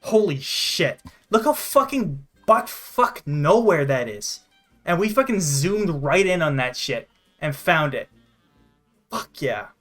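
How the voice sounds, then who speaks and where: Excitable sweary young guy gaming. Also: a little high, slow, soft background music. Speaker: gaming channel host. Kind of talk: casual game commentary with banter.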